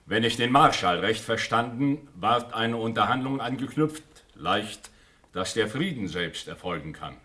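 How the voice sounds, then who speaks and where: A bright-voiced, composed noble officer. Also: male voice, fairly low pitch, animated. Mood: matter-of-fact. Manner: measured, formal reporting in verse. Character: noble army officer, composed, respectful messenger. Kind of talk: classical German radio drama acting.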